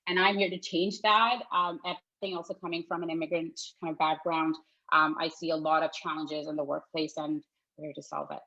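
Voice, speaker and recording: A woman from Pakistan with a fairly low voice introduces herself on a panel as a startup founder. She speaks earnestly with many fillers, and the audio is dull and muffled. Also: little pitch movement, fairly quick, bright, forceful tone.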